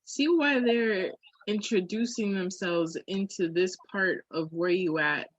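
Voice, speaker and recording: A woman's voice: medium pitch, takes it slowly, no room sound, highs cut off. A woman talking candidly on a podcast in an emphatic, slangy way, with swearing.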